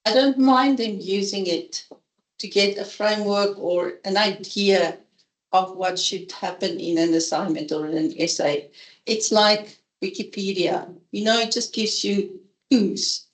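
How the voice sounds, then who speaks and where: A woman's voice: medium pitch, measured pace. A woman professor speaking candidly and conversationally at a seminar, sharing her opinions openly. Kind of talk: seminar talk. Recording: echoey conference room.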